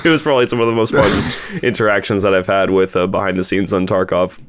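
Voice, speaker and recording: A man's voice: deep voice, fairly even intonation, quick pace. A man chatting casually and enthusiastically as he hosts a discussion and interviews a cast member. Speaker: host, voice actor and producer of an audio series.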